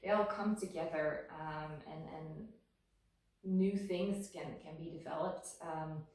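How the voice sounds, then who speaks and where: A woman in her thirties from Belgium, speaking, talks in a fairly low voice and pauses often to find her words. The recording is very echoey. She sits at some distance from the microphone. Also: fairly even intonation, a little mellow. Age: 30s. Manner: thoughtful, many ums and restarts. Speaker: guest. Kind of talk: casual interview answers. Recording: echoey room, voice a bit off-mic.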